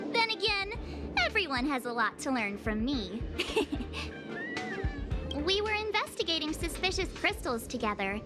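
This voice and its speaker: High, animated, cheeky game heroine. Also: female voice. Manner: cheeky, casual, boastful. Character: breezy, self-assured, playful young woman adventurer. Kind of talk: video game voice acting.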